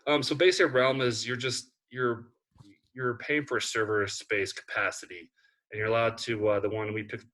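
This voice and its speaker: A man on a video call who explains haltingly, with many pauses and restarts. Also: on the low side, pushed and bright. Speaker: parent running a game server.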